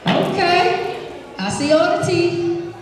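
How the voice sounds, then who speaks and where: A woman, an energetic children's author reading aloud live to a school audience, projecting and engaging listeners with questions. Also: extremely high pitch, drawn-out words. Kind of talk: live read-aloud, call-and-response with children. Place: large echoey room at an elementary school. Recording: very echoey hall, distant mic.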